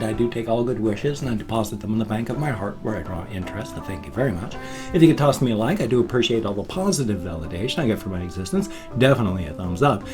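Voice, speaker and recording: An American man in his fifties talks casually to his vlog viewers in an easy, medium-pitched voice. He stretches out his sign-offs and thank-yous slowly. Music plays clearly behind him. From United States. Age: 50s. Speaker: vlogger.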